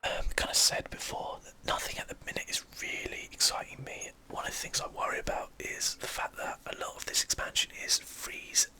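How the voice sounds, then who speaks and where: A man's voice: fairly quick, few pauses, bright, forceful tone, poor audio. A man whispers breathily in casual, rambling ASMR commentary while playing a video game.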